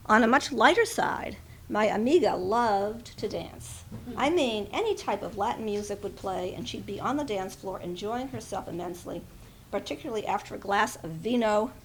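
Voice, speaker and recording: A woman speaks at a live memorial gathering, reading out a written tribute and stepping out of it for informal asides. Her voice sits at a middling pitch for a woman. There is faint room noise behind her. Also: lively intonation, normal speed, poor audio.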